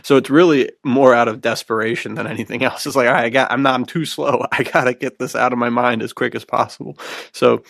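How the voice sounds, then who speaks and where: A man's voice: medium pitch, steady tone, quick pace, gravelly, a bit bright, dry recording, clean studio sound. A man co-hosting a podcast, chatting casually and telling self-deprecating stories in colloquial language. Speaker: podcast co-host and writer.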